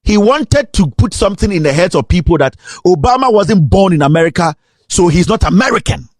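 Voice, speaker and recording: A Ghanaian man delivers a fiery political commentary in a high voice that swings widely in pitch. He is indignant and emphatic, hammering rhetorical questions, and the sound is dry and close. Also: expressive, fairly quick, no room sound. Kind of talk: impassioned political monologue.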